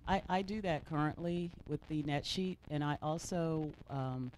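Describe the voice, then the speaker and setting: A man's voice: on the high side, a little slow, poor audio. A man who works as an agent, commenting from the class in a spontaneous, somewhat hesitant and rambling way. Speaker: class attendee, real estate agent. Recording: faint background noise in classroom.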